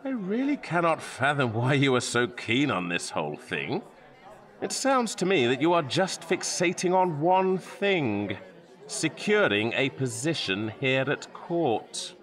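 Airy evasive tudor courtier, flippant, mock earnest, really animated pitch, audio comedy. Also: male voice, unhurried, a faint crowd. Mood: dismissive. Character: breezy, evasive Tudor court composer, male, nonchalant slacker. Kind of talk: comic audio drama acting.

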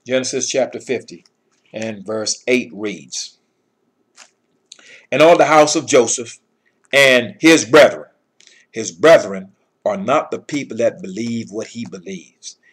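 A middle-aged man with a husky voice giving an informal scripture lesson in an emphatic, admonishing manner. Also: mid-range voice, takes it slowly, long pauses. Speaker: Bible teacher.

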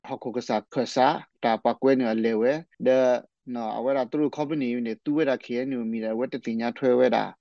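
A man's voice: medium pitch, steady tone, fast, creaky, hardly any pauses, no echo. A man speaking off the cuff in spontaneous commentary on a radio programme. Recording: slightly muffled shortwave radio programme audio.

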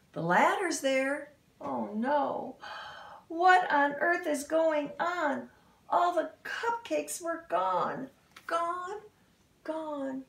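A woman in her fifties reading a picture book aloud to young children, expressive and exclamatory, with dramatic pauses and asides. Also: high-pitched, creaky. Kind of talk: animated read-aloud storytelling for young children. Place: small indoor room at home. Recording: roomy home recording, slightly distant mic.

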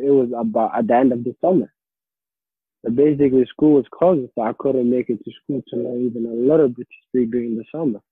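A teenage student from Somalia, answering interview questions casually and hesitantly, repeating and restarting phrases. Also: male voice, medium pitch, slightly rough, dry recording. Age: teens. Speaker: interviewee, high school student. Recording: tinny phone line, faint radio noise.

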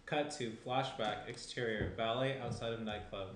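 A young man narrating screenplay stage directions in a plain, measured way. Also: mid-range voice, fairly even intonation. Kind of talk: scripted narration. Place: small indoor room around a table. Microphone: shared tabletop microphone. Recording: roomy tabletop pickup, faint noise.

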